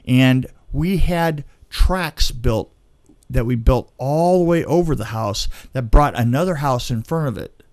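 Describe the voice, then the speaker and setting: Man, casual anecdotal storyteller, film crew veteran, medium pitch, dry sound. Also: lively intonation, measured pace, few pauses, a little mellow, dry recording.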